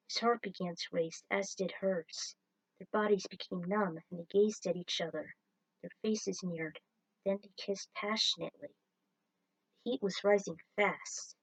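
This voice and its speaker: A woman's voice: mid-range voice, poor audio. A woman reading scripted fiction aloud as its narrator, in the manner of an amateur storyteller presenting their own writing. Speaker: narrator and writer of fan fiction.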